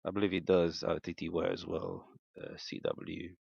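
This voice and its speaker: A man giving an unscripted, step-by-step technical demonstration in a hesitant, halting way, in a slightly muffled room recording. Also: deep voice, very animated, gravelly, darkish tone, slightly rough, no room sound. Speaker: amateur radio operator demonstrating equipment.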